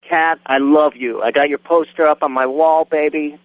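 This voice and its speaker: A man's voice: on the high side, steady tone, normal speed, no room sound, close to an everyday voice, poor audio. A man playing a lovestruck male fan calling a radio DJ in a smitten, pleading tone. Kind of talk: scripted game voice lines.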